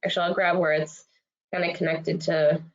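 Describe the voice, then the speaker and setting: A woman with a slight cold and a congested voice, leading a software training webinar in a friendly way with lots of fillers. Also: fairly low pitch, level tone, normal speed. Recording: dull lo-fi web audio.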